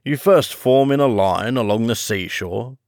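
A man's voice: medium pitch, unhurried, a little mellow, no room sound, studio quality, close to an everyday voice. A man voicing a brusque, bossy griffin in a storybook reading, blunt and exclamatory. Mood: brisk.

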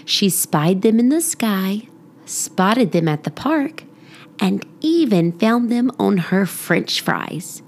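A woman reading a children's storybook aloud as a scripted narrator, expressive and deliberate. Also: mid-range voice, creaky. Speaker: children's book narrator, podcast reader.

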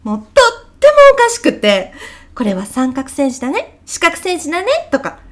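A woman's voice: high voice, moderate pace. A woman playing a chirpy, polite but gossipy kindergarten teacher in a comedic radio drama. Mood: gleefully gossipy. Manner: polite, effusive, animated. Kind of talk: comedic short radio drama, phone meeting.

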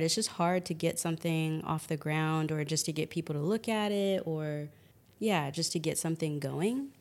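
A woman co-hosting a podcast, chatting casually and thinking aloud with fillers. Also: low voice. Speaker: podcast co-host. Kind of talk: casual chat.

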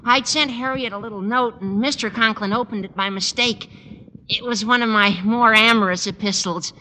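An actor playing an eager, awkward teenage schoolboy with a squeaky voice in a 1950s radio sitcom. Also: male voice, steady tone, moderate pace, sharp tone. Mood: sheepish, animated. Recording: old radio recording, reverberant hall, slight noise.

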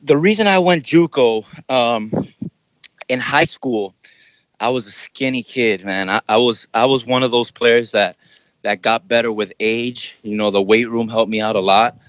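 A man's voice: medium pitch, normal speed, dry recording, rough recording. A young adult man from Miami, Florida, a basketball trainer, talking casually in a podcast interview and using a lot of "you know" fillers.

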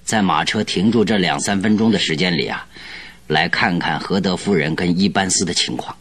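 An actor playing a shrewd, secretive male detective in a radio drama, with a husky, unhurried, coaxing delivery. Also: low voice, a bit bright. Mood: calm, scheming. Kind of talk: Mandarin radio drama acting.

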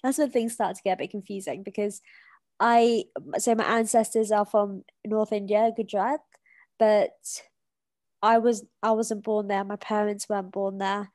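A woman's voice: mid-range voice, flat delivery, moderate pace, darkish tone, dry recording. A young woman from Kenya with a British accent, thinking aloud as a podcast guest. Her talk is hesitant and full of fillers and restarts, recorded close and dry. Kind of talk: casual conversation.

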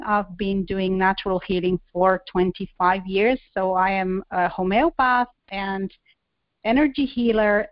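Soft-spoken woman. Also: mid-range voice, no echo. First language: Spanish. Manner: gentle, earnest, with small laughs. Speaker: guest. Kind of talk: interview over a call. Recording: dull lo-fi call audio.

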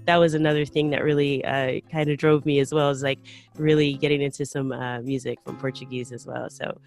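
A woman's voice: extremely low pitch, level tone, a little fast, darkish tone, soft background music. A woman hosting a podcast, chatting casually with her guest and using many fillers. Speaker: podcast host. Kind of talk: casual interview chat.

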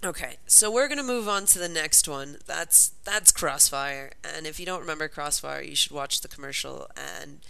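A woman's voice: low voice, moderate pace, vocal fry, few pauses, pushed and bright, smooth. A woman co-hosting a casual podcast about old toy commercials, talking in a rambling way with lots of ums and sounding sniffly from a cold. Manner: chatty, rambling, with frequent ums. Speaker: podcast co-host.

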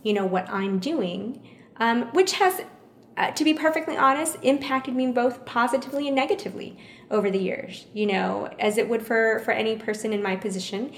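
A thoughtful woman, born in the United States with Vietnamese as her first language, answers interview questions at a measured pace and often repeats a phrase to stress a point. Her voice has a gravelly, creaky edge. The recording is roomy and echoey. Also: fairly high pitch, a little hiss or hum. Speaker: interview guest.

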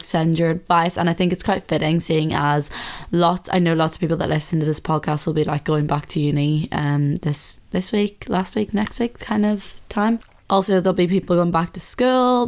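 A woman in her twenties from the UK with a slightly husky voice, hosting a podcast in relaxed casual chat from her bedroom. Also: sits low. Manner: chatty, relaxed, lots of fillers. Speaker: podcast host. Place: her bedroom, sitting on bed.

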